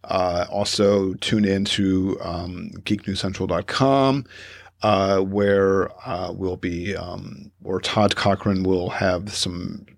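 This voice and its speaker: A man's voice: deep voice, steady tone, slow pace, vocal fry, dry recording. A man hosting a casual podcast, rambling with many fillers and self-corrections and laughing often as he talks. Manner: laughs often while telling stories. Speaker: podcast host.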